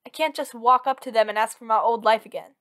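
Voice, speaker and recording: A woman acts out a female villain in love, anxious, clingy and possessive. Her voice sits a little high. She talks one-on-one to her partner as in an ASMR audio drama, earnest and intimate, pleading and insisting with devotion. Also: fast, fairly bright tone, natural voice. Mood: defensive, insistent. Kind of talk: ASMR roleplay acting, one-sided dialogue.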